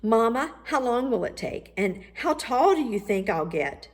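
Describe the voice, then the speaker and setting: A woman's voice: a little high, very animated, unhurried, voice pushed up. A woman voicing an earnest young boy in a children's storybook, full of questions and longing to grow taller. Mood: excited, wondering. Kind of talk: children's storybook read-aloud.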